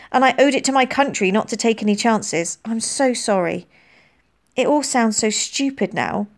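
Woman doing a sorry schoolgirl, tearful, rushing through her apology, higher and louder. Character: remorseful schoolgirl pleading for forgiveness.

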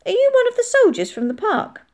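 A woman voicing an eager, curious young boy who questions excitedly in an audiobook. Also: extremely high pitch, brisk, pitched above the performer's own voice. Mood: curious, urgent. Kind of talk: audiobook character voice.

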